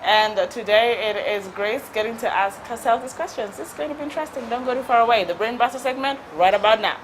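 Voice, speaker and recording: A woman with a Kenyan accent hosting a TV talk show, playful and lively, asking questions and teasing her guest. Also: on the high side, quick pace, a little hiss or hum, low-quality recording. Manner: playful, lively, mixing in Swahili phrases. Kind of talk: casual interview chat.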